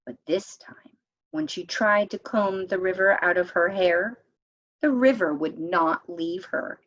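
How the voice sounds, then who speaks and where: A woman reading a children's picture book aloud in a measured, expressive storytime manner, as if to young listeners. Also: fairly low pitch, dull audio. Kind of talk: read-aloud storytime narration.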